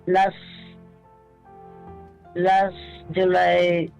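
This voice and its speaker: A man phoning a radio advice show, telling a personal story haltingly, stumbling over and repeating words. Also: sits high, monotone, gravelly. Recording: dull lo-fi call, music behind. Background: background music.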